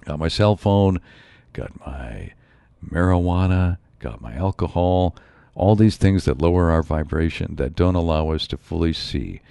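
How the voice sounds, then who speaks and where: A man hosting his own show in a rambling solo monologue, his voice a bit raspy from a slight cold. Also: sits low, a little slow, dark tone, no echo. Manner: rambling, musing, frequent asides. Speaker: show host.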